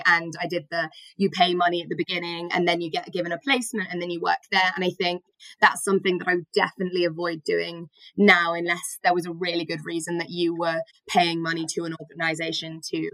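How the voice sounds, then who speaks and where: A woman's voice: fairly low pitch, a bit bright, no room sound, rough recording. Hesitant young British woman podcaster. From Britain. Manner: hesitant, many fillers, thinks aloud. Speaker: podcast co-host. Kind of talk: casual podcast conversation.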